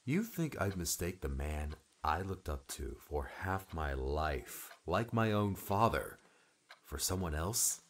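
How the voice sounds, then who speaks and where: A man acts an earnest young man in mourning for an audiobook. His voice is very low and muttered, recorded with audible noise and small clicks. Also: big pitch swings, slow, heavily acted. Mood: quietly insistent. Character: earnest, grieving young man clinging to hope. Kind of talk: audiobook character acting.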